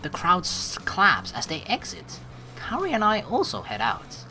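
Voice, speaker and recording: A woman with a low voice hosts a let's play. Her pitch moves around a lot as she rambles informally and reads along. Faint game music sits behind her. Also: measured pace. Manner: rambling, animated, informal with mild swearing. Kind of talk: casual game commentary and reading aloud.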